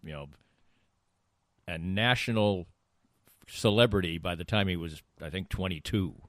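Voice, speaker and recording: A man's voice: low voice, measured pace, a bit bright, no room sound, clean studio sound. A middle-aged man bantering casually as co-host of a talk podcast.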